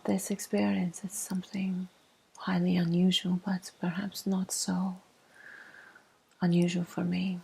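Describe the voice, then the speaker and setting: A woman speaking softly in a breathy, often half-whispered ASMR style, telling personal stories gently with pauses between short phrases. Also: lowish voice, poor audio. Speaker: ASMR video host. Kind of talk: soft-spoken ASMR, personal storytelling.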